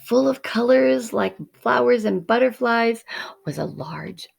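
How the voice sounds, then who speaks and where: Slow, creaky-voiced woman reading a storybook. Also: fairly high pitch, expressive. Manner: measured, dramatic, dropping to hushed tones. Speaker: teacher reading a picture book. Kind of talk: expressive storybook narration for children.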